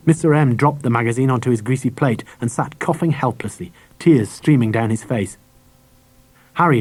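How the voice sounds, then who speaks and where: A man's voice: medium pitch, dry recording. A man reading a children's story aloud as a scripted audiobook narration. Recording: dry cassette audiobook transfer.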